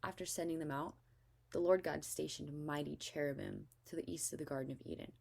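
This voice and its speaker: A woman narrates scripture as a scripted reading. Her voice is notably low for a woman, with plenty of vocal fry. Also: steady tone, fairly quick, a little mellow. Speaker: scripture reader.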